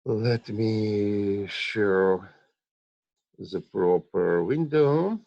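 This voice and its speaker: A man giving an online university lecture to students in a halting, hesitant way, with dull, muffled lo-fi audio. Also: lowish voice, gravelly, darkish tone. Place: online screen-share session.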